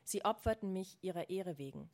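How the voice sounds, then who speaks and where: A woman's voice: lowish voice, a little fast, gravelly. A woman reading aloud as a firm, militant woman friend from a novel, urging with insistent emphasis.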